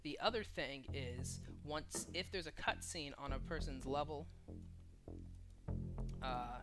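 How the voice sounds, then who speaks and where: A man's voice: fairly high pitch, slow. A man casually and informally walking through a video game level editor as a tutorial host. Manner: informal, profane. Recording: music behind the voice. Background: loud game music.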